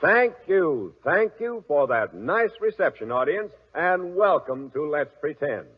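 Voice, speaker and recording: A man's voice: a little high, sing-song, unhurried, husky. A man hosting and narrating a children's radio show in an avuncular, theatrical 1940s announcing and storytelling style. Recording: dull old radio recording.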